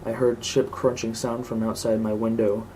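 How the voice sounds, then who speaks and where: A man's voice: on the low side, little pitch movement, moderate pace, dark tone. A man reading a scripted horror story aloud as narrator. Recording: clearly noisy home recording.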